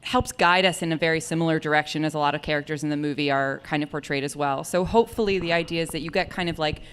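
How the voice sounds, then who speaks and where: A stage actress from Los Angeles answering audience questions, thoughtful and hedging with fillers. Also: female voice, low voice, fairly even intonation, fast talker, slight background noise. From Los Angeles, California. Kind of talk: casual talkback answer.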